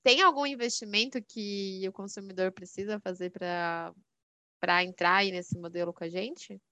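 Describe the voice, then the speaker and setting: A woman's voice: medium pitch, steady tone, normal speed, bright, forceful tone, dry recording. A woman hosting a podcast, asking friendly, curious questions with frequent "né?". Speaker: podcast host. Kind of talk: podcast interview.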